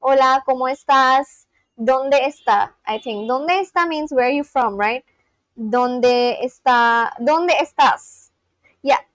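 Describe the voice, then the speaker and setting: Young woman, Indian English accent, high-pitched, rambling, casual streamer chat, dry close sound. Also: slow pace, a bit bright, dry recording. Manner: chatty, rambling, many fillers and restarts. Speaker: livestream host.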